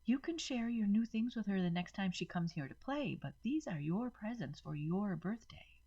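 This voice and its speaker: Woman acting a firm, husky protective mother. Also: medium pitch, expressive, warm tone, muffled recording, pitched above the performer's own voice. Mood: gentle, reassuring. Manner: firm and measured. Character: firm, protective young mother, gentle with her child.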